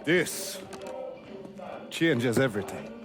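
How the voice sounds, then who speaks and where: Southern American crime boss, swooping expressive voice. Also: male voice, mid-range voice, takes it slowly. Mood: heartfelt, proud. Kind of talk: video game cutscene acting. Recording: music playing behind the voice.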